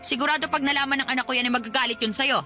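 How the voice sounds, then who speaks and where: High-voiced, domineering Filipino mother-in-law. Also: fairly even intonation, very fast, sharp tone, faint music behind. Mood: accusing, contemptuous. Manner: scolding, sarcastic, haughty. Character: cruel, domineering older mother-in-law, scolding and contemptuous. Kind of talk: radio drama acting.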